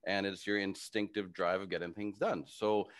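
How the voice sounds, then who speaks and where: A man's voice: low voice, little pitch movement, moderate pace, clean tone. A middle-aged man hosting a podcast interview over a video call, asking enthusiastic, encouraging questions. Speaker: podcast host. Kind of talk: casual interview talk. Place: home studio with brick wall. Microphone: studio mic on video call.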